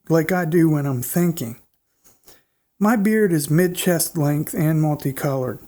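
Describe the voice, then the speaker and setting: A man with a congested, nasal voice hosting a show and reading listener encounter stories aloud in a steady, plain way. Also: on the high side. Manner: steady, plain reading pace with small restarts. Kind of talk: storytelling narration.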